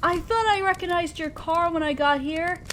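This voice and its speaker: A woman's voice: very high voice, normal speed, a little hiss or hum. A woman playing a shy, awkward, kind young neighbor in an intimate roleplay audio drama, speaking hesitantly and apt to ramble self-consciously. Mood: friendly, curious. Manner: hesitant, soft-spoken, rambling, self-deprecating. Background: outdoor fire ambience.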